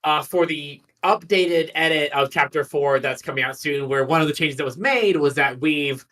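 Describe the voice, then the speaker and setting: A man's voice: on the high side, moderate pace, bright, forceful tone. A man hosting a livestream, giving a casual explanation to viewers.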